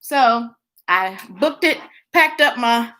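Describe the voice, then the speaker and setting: Bright, high-pitched American woman hosting story time. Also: rough recording. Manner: playful, animated, chatty, drawn-out emphasis. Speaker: children's story time host. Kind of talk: casual livestream chat to young viewers.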